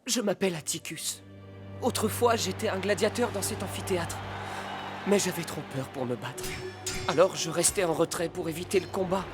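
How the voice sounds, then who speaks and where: An actor voicing a timid, gentle ghost of a cowardly male Roman gladiator in an animated cartoon, nervous and hesitant. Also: medium pitch, normal speed, natural voice. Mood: sheepish. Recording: music behind the voice.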